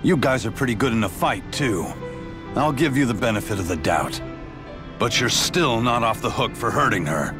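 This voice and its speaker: A man playing a gruff, protective older brother warrior in a video game, brooding and sparing with words. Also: medium pitch, animated, normal speed, over music, close to an everyday voice. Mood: guarded, moody. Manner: laconic, gruff, hesitant. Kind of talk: video game cutscene acting.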